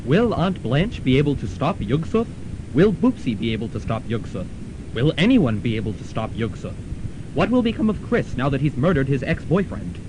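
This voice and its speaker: A young man announces a radio serial in a dramatic, scripted style. His mid-pitched voice swings expressively, over clear rumbling noise from a college radio tape. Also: very animated, brisk. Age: young adult. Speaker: announcer, narrator.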